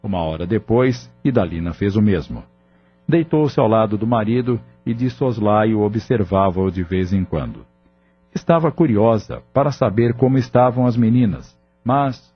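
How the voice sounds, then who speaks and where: A man narrating an audio novel in a steady, measured storytelling manner. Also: fairly low pitch, slightly rough. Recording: dull lo-fi audio, faint music.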